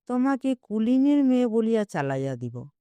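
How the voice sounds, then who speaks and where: A woman voicing a wry, coaxing old Bengali gentleman, a self-justifying matchmaker in an audio storybook, speaking deliberately. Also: a little high, sing-song, clean tone, dry recording.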